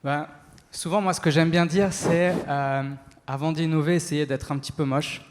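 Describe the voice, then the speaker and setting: Male entrepreneur as event guest, halting delivery, long hall reverb. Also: fairly high pitch, steady tone, background noise. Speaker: entrepreneur being interviewed on stage. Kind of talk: spoken testimony at a business talk event.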